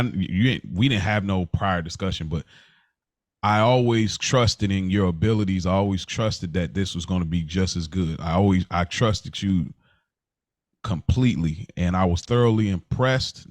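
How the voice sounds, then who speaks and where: An American man co-hosts a podcast with a low voice. His casual delivery is earnest and stop-start, circling back on phrases to stress them. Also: no room sound. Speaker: podcast co-host. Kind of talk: casual chat.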